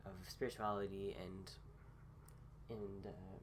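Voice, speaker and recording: A man recovering from a cold gives a casual philosophy talk with many pauses, fillers and restarts. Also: deep voice, level tone, slight background noise, low-quality recording. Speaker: host.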